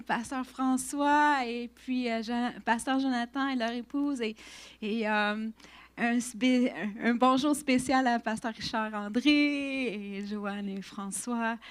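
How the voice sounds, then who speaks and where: A woman in her fifties with a Québécois accent, introducing herself as a guest speaker at church, chatty with frequent fillers and restarts. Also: sits high. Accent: Québécois French. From Quebec. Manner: warm, chatty, laughs often, many restarts and fillers.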